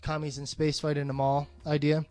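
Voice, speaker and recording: Man, fairly high voice, blunt sweary delivery, casual film discussion, podcast co-host, faint music behind. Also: completely flat delivery, a little fast, darkish tone.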